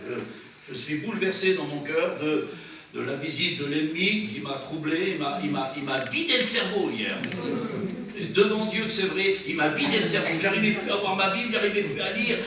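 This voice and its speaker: A man with a cold and a runny nose preaches earnestly in short, exhorting phrases. His voice sits a little high and sounds distant, caught from across a very echoey church. A clear hum of noise runs under it. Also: moderate pace. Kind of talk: sermon. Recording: very echoey church, from far off, noisy.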